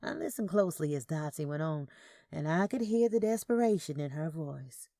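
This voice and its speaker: A woman's voice: low voice, lively intonation, normal speed, warm tone, dry recording, clean studio sound. A woman narrating a first-person audio story in a dramatic reading style.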